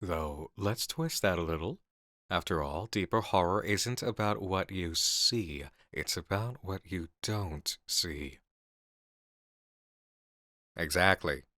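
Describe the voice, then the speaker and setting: Male actor as calculating game developer, low-pitched, measured, articulate, quietly sinister, dry sound, horror audio roleplay. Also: no room sound, full character voice. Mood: sinister, intrigued. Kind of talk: scripted ASMR horror roleplay acting.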